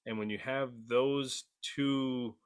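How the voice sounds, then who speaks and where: A man's voice: medium pitch, fairly even intonation, takes it slowly, clear, resonant tone. A man talking emphatically and with strong opinions in a group discussion about a series, repeating phrases for emphasis. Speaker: podcast panelist.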